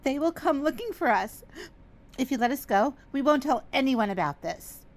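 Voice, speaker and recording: An actress playing a desperate murder victim in a radio mystery, earnest and strained. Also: female voice, high voice, expressive, moderate pace. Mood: desperate pleading. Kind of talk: radio mystery theatre acting.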